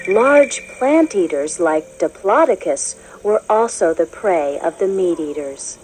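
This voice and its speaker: A woman narrating a children's educational book, reading in a clear, measured, explanatory way as if to young listeners. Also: on the low side, expressive, a little mellow, a little hiss or hum, poor audio. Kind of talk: scripted storybook narration.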